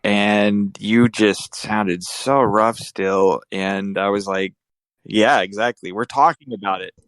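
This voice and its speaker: A man's voice: on the low side, fairly even intonation, slow pace, fairly bright tone, no room sound. A man hosting a casual podcast, rambling and joking with his co-host, often restarting his sentences.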